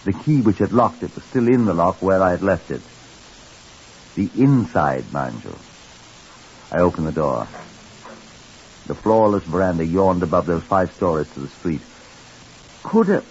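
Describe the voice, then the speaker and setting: A man narrating a mystery in the first person as the detective, with dry, measured storytelling in old-time radio style. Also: sits low, a little mellow. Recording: muffled old radio recording with hiss.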